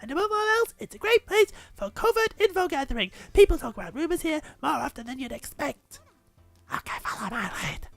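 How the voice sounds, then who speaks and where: A woman's voice: sits high, big pitch swings, brisk, gravelly, no room sound, soft background music, heavily acted. A woman voicing an earnest anime schoolgirl in a visual novel game. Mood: chatty, confiding. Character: earnest high-voiced anime schoolgirl who loves animals.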